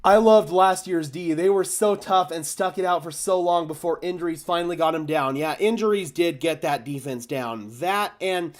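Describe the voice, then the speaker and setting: A young man with a slightly raised pitch hosts a casual live stream for fellow fans. His voice is a little hoarse and worn. He chats loosely and gets loudly excited when he thanks donors. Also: moderate pace, few pauses. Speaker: fan channel host. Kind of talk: live stream chat reacting to donations.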